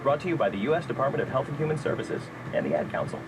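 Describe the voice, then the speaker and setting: A man's voice: medium pitch, big pitch swings, very fast. A man reading a public service announcement in an earnest tone. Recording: noisy background hiss.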